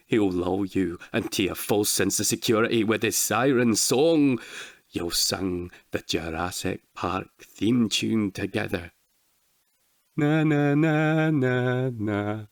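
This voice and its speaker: A man's voice: lowish voice, dry recording, clean studio sound. A man voicing a frightened old man who tells a dire local legend in an ominous, dramatic storytelling way. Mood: grave, dramatic. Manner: dramatic, ominous, dwelling slowly on words.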